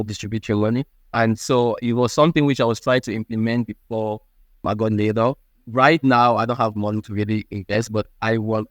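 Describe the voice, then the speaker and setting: A man in his thirties from Cameroon, speaking with a Cameroonian accent and answering interview questions in a halting, earnest way. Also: medium pitch, no room sound. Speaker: guest.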